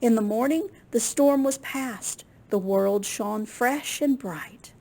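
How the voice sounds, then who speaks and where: A woman reading a picture book aloud to children in an expressive, unhurried way, with small asides to her listeners. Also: on the high side, vocal fry. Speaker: children's storytime reader at a library.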